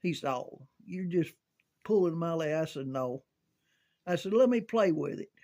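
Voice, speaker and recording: An older man telling casual, rambling recollections in a halting, folksy way, as if thinking aloud. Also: fairly high pitch, lively intonation, darkish tone. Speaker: amateur storyteller reminiscing about CB radio.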